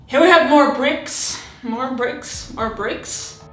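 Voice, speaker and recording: Woman, 30s, halting casual hobby chat, slightly high voice, roomy home recording. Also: faint music behind. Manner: chatty, halting, frequent restarts and fillers. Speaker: miniature terrain hobbyist. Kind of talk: casual hobby vlog narration.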